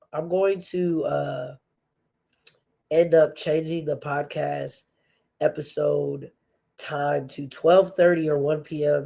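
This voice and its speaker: A woman's voice: low voice, vocal fry, mellow. A woman hosting a faith-based podcast, talking earnestly with a stuffy, congested nose and frequent fillers. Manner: slow, earnest, with many fillers and restarts. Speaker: podcast host.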